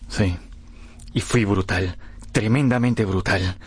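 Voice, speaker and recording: An actor playing a vain, impassioned young gentleman in a radio drama, speaking in an earnest, declamatory manner. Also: lowish voice, takes it slowly, slightly rough, dry recording. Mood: resolute, elated. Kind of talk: Spanish-language radio drama acting.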